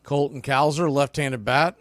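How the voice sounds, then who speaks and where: A man calling baseball play-by-play live, in clipped pitch-by-pitch phrases. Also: steady tone, measured pace, fairly bright tone, dry recording. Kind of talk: live sports commentary.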